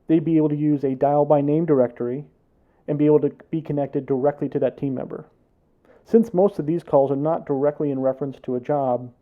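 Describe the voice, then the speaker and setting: A man's voice: a little high, narrow, tinny audio. An American man presenting a product explainer as scripted voice-over narration for a tech consultancy. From United States.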